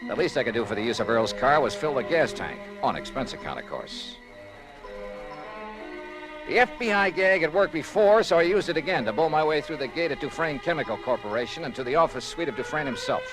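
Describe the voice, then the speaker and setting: A man's voice: fairly high pitch, lively intonation, a little fast, over music, lightly acted. An American man acting a shrewd, brash investigator in a 1950s radio detective drama, with a wry, clipped, hard-boiled manner. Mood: wry, confident. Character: shrewd, brash male insurance investigator narrating his case. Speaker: lead actor and narrator of a detective serial. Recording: noisy vintage 1950s radio recording.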